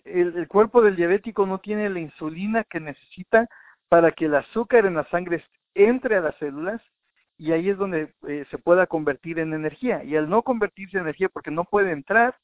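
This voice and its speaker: A man's voice: high-pitched, no echo. A man presenting an informal health talk in a didactic way, addressing the listener directly, point by point. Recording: narrow, tinny phone-like sound.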